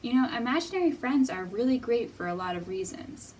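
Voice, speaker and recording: A woman hosting a children's storytime, speaking in simple, deliberate, teacherly phrases with pauses and questions for young viewers. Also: a little high, lively intonation, darkish tone, recorded from a distance, slight background noise. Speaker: children's library storytime host.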